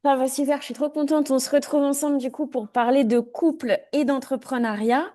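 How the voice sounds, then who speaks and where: A woman's voice: high voice, fairly even intonation, brisk, mellow. A woman hosting an interview, upbeat, asking questions and giving frequent short acknowledgements. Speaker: host.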